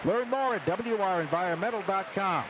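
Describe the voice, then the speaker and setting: A man's voice: high-pitched, a little fast. A man reading a scripted sponsor message on the radio. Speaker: sponsor announcer. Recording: dull lo-fi sound, clear background noise.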